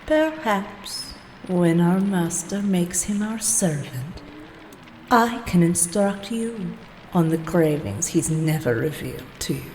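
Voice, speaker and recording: Very slow, seductive vampire. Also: female voice, fairly low pitch, animated, darkish tone. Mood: taunting. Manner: slow, taunting, condescending. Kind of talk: radio play acting. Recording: long reverb, faint noise.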